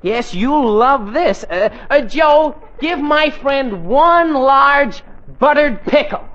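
An actor playing a scheming, excitable American teenage boy in a 1940s radio sitcom, eager and flustered. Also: extremely high pitch, slow pace, exaggerated voice. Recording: muffled, hissy 1940s radio recording.

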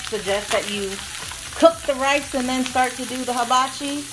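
A woman's voice: high-pitched, normal speed. A woman in her thirties with an American accent, giving casual commentary on a home cooking vlog. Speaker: family vlog host filming. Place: home kitchen, indoors. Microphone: handheld camera or phone, held by speaker. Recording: noisy kitchen with griddle sizzle. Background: frying sizzle, kitchen ambience.

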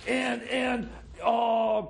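A man playing an excitable, paranoid mechanic who stammers and repeats himself in a comedy radio play. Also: very high voice, drawn-out words, gravelly, shouting, some chatter behind, heavily acted. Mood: agitated. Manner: flustered, stammering, repetitive, insistent. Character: excitable rambling paranoid male time machine mechanic. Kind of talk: improvised comedy radio theater.

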